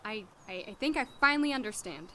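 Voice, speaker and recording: A woman's voice: on the high side, animated, fast, fairly bright tone, a little hiss or hum. A woman playing a blunt, idealistic doctor in an audio drama, with natural, conversational delivery. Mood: earnest.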